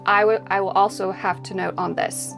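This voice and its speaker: Chatty woman vlogger over soft music. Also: mid-range voice, fairly even intonation, moderate pace, vocal fry. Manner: chatty, agreeable, many yeahs. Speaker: vlog co-host. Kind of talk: casual chat to camera.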